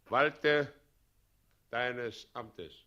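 An actor playing a gloating, self-important usurper president in a 1960s radio play, pompous and commanding with a false sweetness. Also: male voice, mid-range voice, drawn-out words, sharp tone, lo-fi sound. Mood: falsely sweet, bossy.

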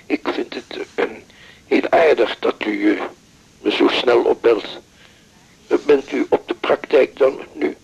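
A man's voice: medium pitch, measured pace, gravelly, slightly rough, dull audio, full character voice. An actor playing a reserved male patient, hesitant, formal and politely insistent, in a naturalistic radio drama. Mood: polite, grateful.

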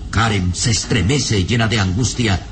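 An actor playing the solemn, suspenseful narrator of a mystery radio serial, with theatrical delivery. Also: male voice, fairly low pitch, moderate pace, background noise, close to an everyday voice. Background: music.

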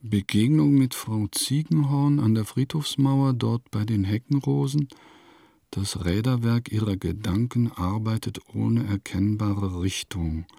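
An actor playing a sardonic, musing composer of the nineteenth century in a radio play, with measured, formal diction and a gravelly voice. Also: male voice, lowish voice, mellow, no room sound, studio quality. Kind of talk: literary radio play monologue.

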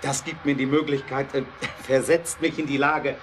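Determined engineer played by a man, idealistic and emphatic, medium register, radio play with clear background noise. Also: mid-range voice, flat delivery, natural voice. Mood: eager, convinced. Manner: earnest, emphatic, halting when explaining. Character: determined, idealistic male inventor and engineer, earnest and passionate. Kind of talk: German audio drama acting.

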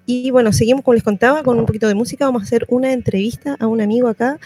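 A woman's voice: a little high, fairly even intonation, very fast, warm tone. A woman with a Chilean accent hosting a casual radio interview in an informal, slangy way. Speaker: radio host. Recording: music playing behind the voice.

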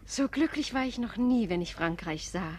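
A woman's voice: fairly high pitch, expressive, moderate pace, darkish tone. An actress in a German radio play voicing a flirtatious, restless married society lady with an effusive, coquettish manner. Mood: seductive.

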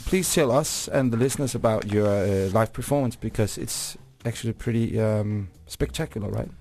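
A man's voice: lowish voice, normal speed, a little mellow. A Danish man hosting a radio show in English, asking questions with many uh fillers. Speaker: radio host. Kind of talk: casual radio interview.

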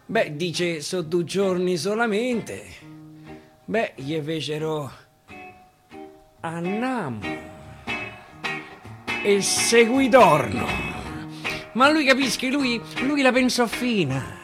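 A male stage actor with a Roman accent recites dialect verse theatrically, swinging from hushed to loud. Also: high voice. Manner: dramatic, swinging from hushed to shouting. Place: radio studio. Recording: clear guitar music underneath. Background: plucked guitar accompaniment.

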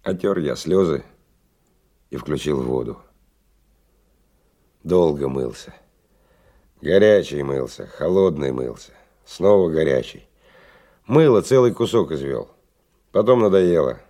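An actor in a radio play voicing a hard-drinking, world-weary male stalker, brooding and coarse in his colloquial speech, with a husky low voice. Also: animated, slow pace, pauses often, dark tone, heavily acted. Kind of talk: radio play monologue and dialogue.

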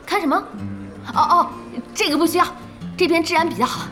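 A woman's voice: very high-pitched, fairly quick, music in the background. A woman playing a feisty, good-hearted internet cafe boss in an audio drama, blunt and exclamatory. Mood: brisk, surprised.

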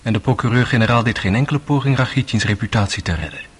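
An actor narrating a courtroom radio drama in composed, scripted storytelling style. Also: male voice, mid-range voice, fast talker, husky.

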